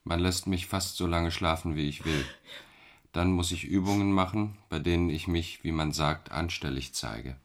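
An actor playing a detached middle-aged man confined in an asylum, calm, matter-of-fact and quietly ironic in a radio drama. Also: low voice, normal speed. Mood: detached, wry.

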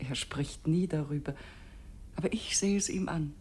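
An actress playing an anxious, devoted upper-class wife in a German radio play, speaking in a refined, confiding manner. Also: female voice, low-pitched, a little slow, a little mellow, slight background noise. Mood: confiding, concerned.

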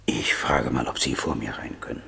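An actor playing a railway crossing keeper in a radio play, gruff and husky, speaking haltingly and soothingly to a widow. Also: male voice, steady tone, sharp tone, whispered, exaggerated voice. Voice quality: gravelly, husky. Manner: soothing, halting, low-voiced.

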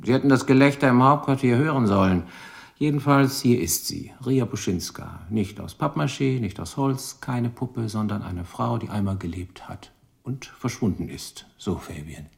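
Crime drama lieutenant: shrewd, dryly ironic, deliberate questioner, creaky voice, lively intonation. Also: male voice, medium pitch. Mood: dryly pressing. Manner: probing, deliberate, dry irony. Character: shrewd, dogged male police lieutenant questioning suspects. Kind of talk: radio crime drama acting.

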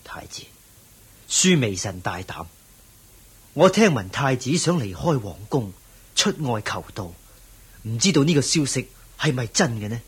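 An actor with a Hong Kong Cantonese accent playing a deferential, persuasive royal courtier in a radio drama, with courtly, expressive delivery. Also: male voice, mid-range voice, measured pace, long pauses, slightly rough, heavily acted. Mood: respectfully pleading.

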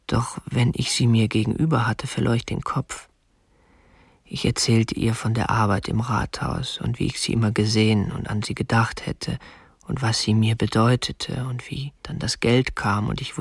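Husky, awkward young kidnapper. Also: male voice, medium pitch, little pitch movement, normal speed, no room sound, clean studio sound. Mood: brooding, confessional. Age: young adult. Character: awkward, obsessive, prim young male kidnapper. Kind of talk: radio drama acting, first-person narration and dialogue.

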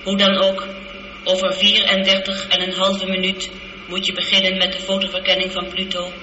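An actress playing a calm, logical, seductive spaceship computer in a radio drama, speaking in a measured, precise and unemotional way. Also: female voice, mid-range voice, lightly acted. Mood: brisk, businesslike. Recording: muffled, echoey, noisy old radio recording.

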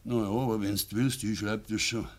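Actor playing a gruff, brooding Bavarian farmer father. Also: on the low side, fairly even intonation, fairly quick, close to an everyday voice. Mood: heavy, resigned. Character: stern, embittered old-fashioned farmer and father. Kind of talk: dialect radio play acting.

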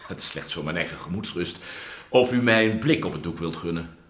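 A man acting a vain, anxious militia lieutenant in a radio drama, formal, measured and polite. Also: sits low, expressive, fairly bright tone. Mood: worried, formal. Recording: roomy radio play sound.

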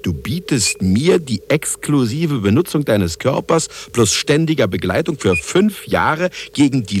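An actor playing an aging, literate Nobel laureate, wry and doubting, in a radio drama. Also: male voice, medium pitch, slight background noise. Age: older.

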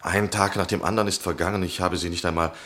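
A man's voice: deep voice, fairly even intonation, quick pace, pushed and bright, no echo. An actor playing a polite, persuasive and probing male journalist in a formal, gallant manner, in the style of a 1960 German radio play. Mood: polite, puzzled.

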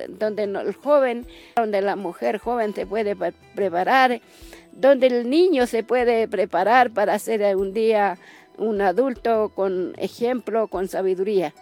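A woman from Chile with a Chilean accent, giving testimony as a community member, pausing and searching for her words. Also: on the high side, a little mellow. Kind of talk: spoken testimony.

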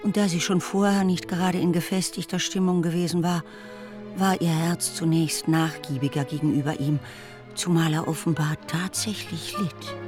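Woman reading literary narration, measured, radio drama style, clear music underneath. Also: fairly low pitch, steady tone.

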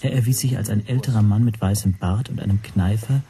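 An actor playing an observant, nervous hotel guest who narrates his own story in a radio drama, measured and precise. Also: on the low side, mellow, a little hiss or hum, lightly acted. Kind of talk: scripted first-person radio drama narration.

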